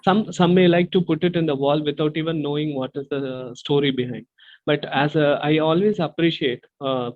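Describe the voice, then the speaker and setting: A man from India, explaining earnestly as an interview guest over a video call, full of hesitant fillers. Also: a little high. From South India. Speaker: guest artist. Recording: tinny phone-like call audio.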